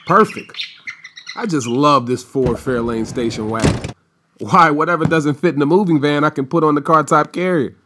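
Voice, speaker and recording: A man playing a brisk, chipper suburban father of the 1950s in a radio play. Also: a little high, a bit bright.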